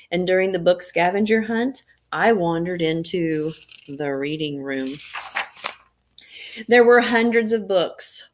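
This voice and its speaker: A woman voicing a storybook magician, telling a tale in an earnest, emphatic way. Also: medium pitch. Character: storybook magician telling of childhood wonder at books.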